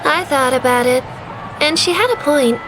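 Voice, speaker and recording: A woman's voice: sits high, normal speed, background noise, lightly acted. An actress voicing an earnest young female reporter in a video game.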